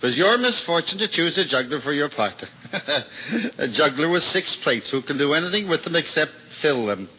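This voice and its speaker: A man's voice: on the high side, lively intonation, normal speed, lightly acted. A man playing a weary old juggler with a lilt. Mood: wistful. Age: older. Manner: wistful, self-mocking, showman's patter. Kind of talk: 1950s radio drama acting. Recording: dull old radio broadcast with crowd noise.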